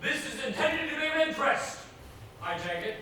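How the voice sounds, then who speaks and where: Male actor as imperious theatrical tutor, high-pitched and declamatory, stage play heard in a very echoey hall. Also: very animated, bright, forceful tone, heavily acted. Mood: exasperated, commanding. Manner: pompous, declamatory, deliberate diction. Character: imperious, exacting male acting coach with grand theatrical flair. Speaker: stage actor in an amateur two-man play. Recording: very echoey theater, distant mic, noisy.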